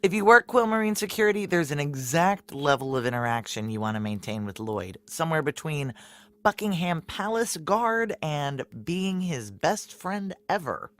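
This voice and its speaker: A man with an American accent playing a jaded, no-nonsense security chief in a noir audio drama, narrating in weary, deadpan asides. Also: on the high side, moderate pace. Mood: dry, confiding.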